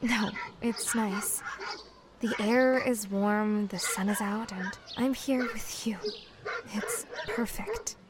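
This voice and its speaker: An actress playing a gentle, guarded mermaid who passes as an ordinary young woman, hesitant and naive, in an audio drama. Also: mid-range voice, slow pace, background noise, natural voice. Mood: content. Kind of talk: naturalistic audio drama acting.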